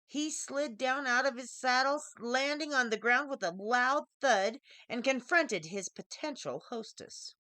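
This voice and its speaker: A woman reading a scripted fairy tale aloud as a storyteller, with deliberate, dramatic phrasing. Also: high voice, bright, forceful tone, no room sound. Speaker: author reading her own story.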